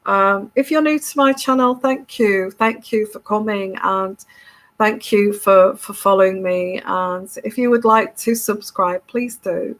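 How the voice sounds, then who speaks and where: A woman talks through a congested, bunged-up nose. Her pitch sits in an ordinary middle range, and she chats casually to her audience, checking in with a frequent "okay?" and tripping over repeated words. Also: a little slow, fairly bright tone. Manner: chatty, keeps tagging "okay?", many restarts. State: congested from a cold. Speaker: tarot reader hosting her channel. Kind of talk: casual solo video monologue.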